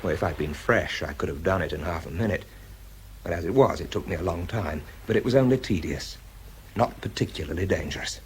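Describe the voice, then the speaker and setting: A man acting in a radio drama as an exhausted, shaky, methodical bomb disposal expert, speaking haltingly under strain. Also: low voice, animated, a little hiss or hum. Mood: weary, reflective. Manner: halting, hesitant, muttering as he works. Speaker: actor and narrator in a radio drama. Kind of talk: radio drama acting, first-person monologue.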